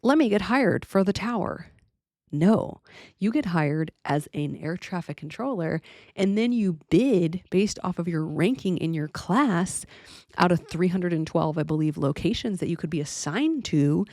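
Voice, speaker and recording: A woman's voice: fairly low pitch, animated, a little slow, darkish tone, dry recording, studio quality. An American woman giving casual opinion commentary to viewers as a vlogger, blunt and emphatic, speaking in a colloquial way. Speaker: aviation vlogger and pilot.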